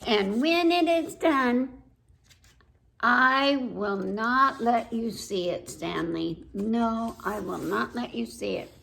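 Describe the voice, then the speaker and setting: A woman acts out a stubborn, boastful little girl from a picture book. The voice is fairly high with a creaky edge, and each defiant word is stretched out slowly for emphasis. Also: fairly bright tone, heavily acted. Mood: proud, boastful. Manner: emphatic, defiant, drawn-out words.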